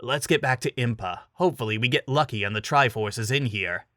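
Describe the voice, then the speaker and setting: A man's voice: medium pitch, brisk, pushed and bright, no room sound, natural voice. Man as a dry, sarcastic grumpy wizard. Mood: resigned. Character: grumpy, sarcastic robed male wizard companion. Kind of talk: dramatic fantasy story reading.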